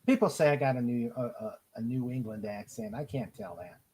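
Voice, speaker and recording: A man from Ohio with an American accent, hosting a casual live chat and often halting as he searches for words. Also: mid-range voice, a little mellow, slight background noise. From Cleveland, Ohio, United States. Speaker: podcast host. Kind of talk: casual live chat, reading out listener comments.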